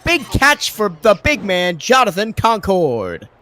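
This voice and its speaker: Male play-by-play caller, slightly high voice, hoarse from a cold, climbs loud and high on big plays. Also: wildly swooping pitch, slow. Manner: rises sharply in pitch and volume on big plays. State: hoarse, lower voice after a cold. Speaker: play-by-play commentator. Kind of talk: sports play-by-play commentary.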